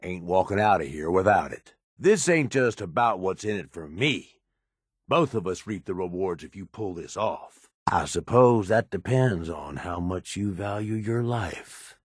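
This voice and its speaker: An actor playing a blunt, pushy, cunning raider schemer in a video game, with a streetwise American manner of speech. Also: male voice, deep voice, expressive, a little slow, breathy edge, dry recording, clean studio sound, full character voice. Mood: wry, pushy. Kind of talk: video game character dialogue.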